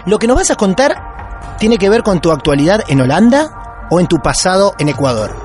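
A man with an Argentine Spanish accent and a slightly high, very animated voice hosts a podcast about real mysteries. He draws out his greetings and questions in a relaxed, chatty way, with soft music playing underneath. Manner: lively, drawn-out welcoming delivery. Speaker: podcast host. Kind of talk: casual paranormal podcast interview.

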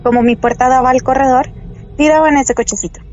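A woman's voice: high voice, brisk, highs cut off. Young woman telling a spooky story. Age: young adult. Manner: animated, hesitant, many fillers. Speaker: guest telling a true story. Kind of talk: casual storytelling.

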